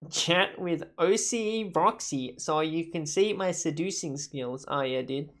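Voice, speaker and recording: A man's voice: a little high, measured pace, fairly bright tone. A man giving casual livestream gaming commentary in a slangy, sweary, bantering way. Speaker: livestream gamer.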